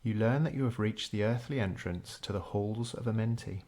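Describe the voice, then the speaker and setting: Man, lowish voice, guided meditation narrator, scripted delivery, measured and unhurried. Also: darkish tone.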